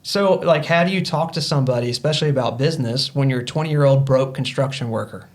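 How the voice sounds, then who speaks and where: A man's voice: mid-range voice, fast talker, a little mellow. A man in his thirties, a podcast guest, telling his story in an earnest, motivational way with many fillers. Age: 30s. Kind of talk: casual podcast conversation.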